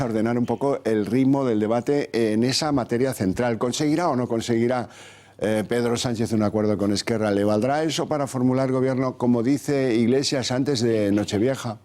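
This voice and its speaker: A man's voice: medium pitch, normal speed, darkish tone. A man hosting a televised political talk show, introducing guests and posing ironic, rhetorical questions. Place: TV studio.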